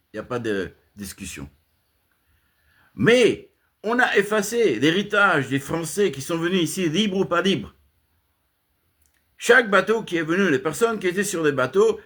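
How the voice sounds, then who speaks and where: A man delivering an impassioned spoken rant to his channel, swinging from emphatic high outbursts to low muttered asides, with frequent restarts. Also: fairly bright tone. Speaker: channel host giving a monologue.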